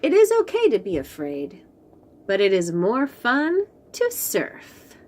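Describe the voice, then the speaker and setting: A woman reading a picture book aloud to young children, slow and expressive, then chatting about the books. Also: medium pitch. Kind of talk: read-aloud storytime for young kids.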